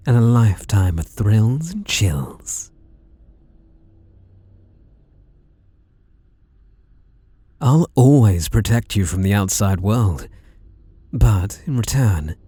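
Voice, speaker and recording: Man acting slow, menacing British slasher stalker. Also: fairly low pitch, husky, dry recording, exaggerated voice. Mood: possessive, seductive. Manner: teasing, softly menacing, drawn-out delivery with sudden swoops. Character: menacing, possessive masked male slasher, flirtatious and obsessive. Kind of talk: intimate ASMR roleplay acting.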